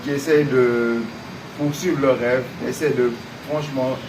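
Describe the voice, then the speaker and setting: A man's voice: medium pitch, measured pace. A man who grew up in Senegal, answering interview questions earnestly and hesitantly, with many fillers. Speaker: guest. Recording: distant mic with steady noise.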